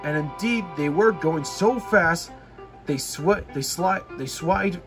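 Male storybook reader, expressive and unhurried, somewhat halting, music behind. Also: a little high. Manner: halting, repeats and corrects phrases. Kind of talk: children's story read-aloud.

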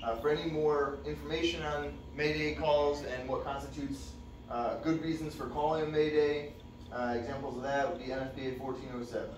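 A young man giving a fire service training talk in a relaxed, conversational way with many fillers, recorded from a distant camera in a dim classroom. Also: on the high side, a little fast, noisy background. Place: dim training room with projector screen. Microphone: far camera. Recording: echoey room, distant mic.

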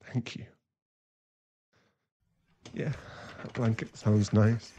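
A man acting a remorseful, tender werewolf boyfriend in an intimate ASMR roleplay, halting and emotional, often breathy. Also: lowish voice, flat delivery, mellow, muffled recording, a little hiss or hum. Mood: tender, grateful. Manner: halting, emotional, pleading, with sighs and breathy drops into whispers.

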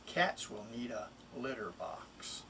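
A middle-aged man, a children's author, introducing and expressively reading a picture book in a deliberate, emphatic way. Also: fairly low pitch, sing-song, gravelly. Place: small wood-panelled home office, indoors. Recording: distant mic, room noise.

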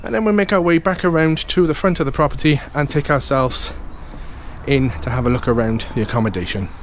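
A man's voice: on the high side, brisk, slight background noise. A man, an estate agent, giving an upbeat walkthrough tour of a house, full of sales patter and repeated "okay" and "fantastic".

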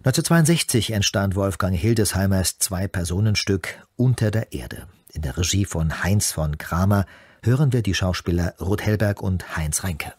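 A male announcer gives a scripted introduction. His voice is very deep and somewhat raspy. It is recorded close, with a dry sound. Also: lively intonation, moderate pace, darkish tone, dry recording. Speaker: announcer introducing a radio play.

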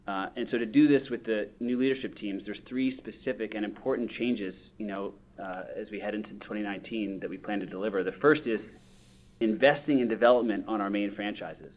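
A male company executive with a lowish voice answers on an earnings call. His phrasing is measured and corporate, and the audio is slightly dull and lo-fi. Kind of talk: earnings call answer, prepared business talk.

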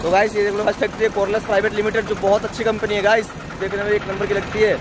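A man's voice: high voice, little pitch movement, rapid-fire. A young man chatting casually to viewers in a repetitive vlog style, recorded outdoors at arm's length. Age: young adult. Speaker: vlog host. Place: outdoors along industrial roadsides. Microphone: camera held at arm's length. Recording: noisy street with vehicle traffic.

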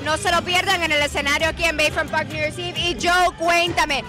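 Very high-pitched, effusive young woman host. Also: steady tone, moderate pace. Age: young adult. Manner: effusive, enthusiastic. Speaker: TV show host and interviewer. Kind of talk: upbeat on-location event interviewing. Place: outdoors at a night park festival. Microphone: handheld microphone. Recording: music behind the voice.

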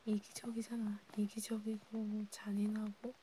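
Casting: woman for whispered ASMR role play, soft dark timbre, breathy, close and conversational, with faint noise behind. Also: mid-range voice. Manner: intimate, halting, informal one-sided conversation. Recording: steady background noise with clicks.

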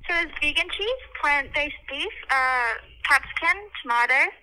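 A woman's voice: sits high, moderate pace, noisy background. A woman taking a pizza order, polite and a little hesitant, heard over a telephone line. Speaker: pizza shop phone order taker. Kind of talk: customer service phone talk.

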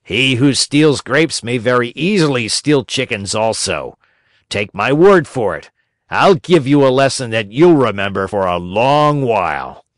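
A man voicing a stern, angry farmer in a children's storybook, with a rough, scolding, threatening manner. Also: medium pitch, expressive, unhurried, dry recording, clean studio sound, lightly acted. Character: angry, stern male farmer catching a thief.

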